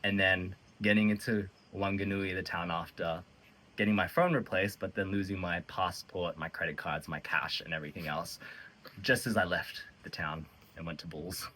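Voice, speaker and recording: Deep-voiced young Australian man. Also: steady tone, moderate pace, sharp tone. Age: 20s. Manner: matter-of-fact, run-on storytelling. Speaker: interviewee hiker.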